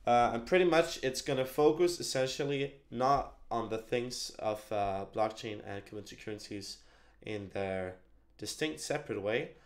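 A young man introduces his show with an upbeat, casual manner, sprinkling in lots of fillers. His voice carries a gravelly fry. He speaks through a headset from a small attic room. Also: medium pitch. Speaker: host.

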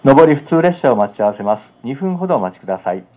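A man's voice: mid-range voice, sing-song, quick pace. A man, a train driver, making a brief routine announcement to passengers. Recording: dull lo-fi train PA.

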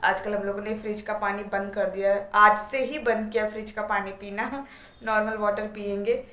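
A woman's voice: mid-range voice, steady tone, normal speed, sharp tone. A young woman vlogger with a sore throat, chatting and apologizing directly to her viewers from a small room at home. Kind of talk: casual chat to camera. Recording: echoey room, faint background noise.

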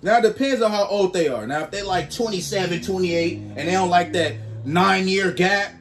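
A man in his twenties doing casual reaction commentary on a headset mic, slangy and animated, jumping to high exclamations. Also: normal speed, over a noisy crowd. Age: 20s. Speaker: reaction streamer.

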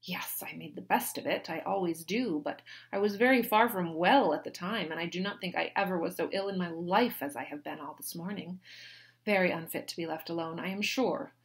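A woman reading a novel aloud, voicing a petulant, self-pitying young married woman who complains loudly. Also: on the low side, brisk, close to an everyday voice. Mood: complaining. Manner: whining, complaining, loud.

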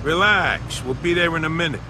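A man's voice: fairly high pitch, fairly quick, natural voice. A man playing a brash, easygoing mobster buddy in a video game, with an American accent and colloquial, streetwise talk. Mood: confident, scheming. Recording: noisy game ambience, engine sounds behind.

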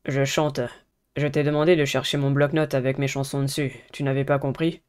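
A courteous, absent-minded schoolboy singer from a dating game as a woman plays him. His voice is pitched down and mellow, and his delivery is understated and polite. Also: flat delivery, fairly quick, smooth. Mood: mildly annoyed. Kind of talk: visual novel character reading.

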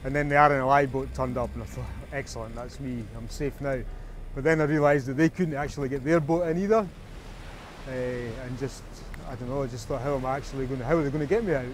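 A man, recalling an ordeal in an interview, talking in halting bursts with restarts. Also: medium pitch, steady tone, a little hiss or hum. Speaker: rescued man.

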